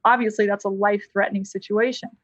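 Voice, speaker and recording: An American woman, a licensed counselor, telling a personal story as a podcast guest in a lively, conversational way. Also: medium pitch, fast talker, dry recording, dull audio. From United States.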